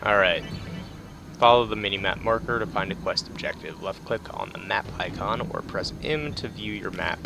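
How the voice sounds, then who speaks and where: A man's voice: deep voice, normal speed, creaky, noisy background. A man doing casual, laid-back game commentary, thinking aloud and reading tutorial text. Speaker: let's play host. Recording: game music behind. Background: game music.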